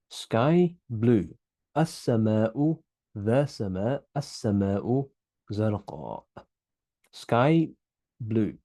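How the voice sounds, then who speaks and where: A man's voice: lowish voice, dark tone, breathy edge, no room sound. A young man teaching Arabic grammar in an online whiteboard lesson, earnest and explanatory, often repeating words as he thinks.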